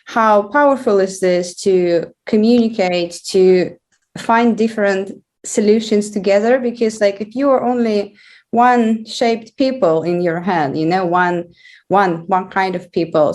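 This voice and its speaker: A woman's voice: mid-range voice, a little slow, a little mellow. A woman, speaking thoughtfully as a panel guest. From Russia. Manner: thoughtful, leans on "you know". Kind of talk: conversational talk.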